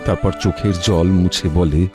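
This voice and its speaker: Male narrator for cartoon tale, low voice, scripted storytelling over music. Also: steady tone, moderate pace.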